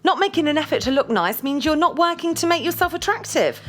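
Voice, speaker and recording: A woman's voice: high voice, big pitch swings, fast, faint music behind. An actress playing a blunt, domineering, self-assured girlfriend who scolds and swears in an audio drama. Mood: scornful, blunt. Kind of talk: dramatic audio play dialogue.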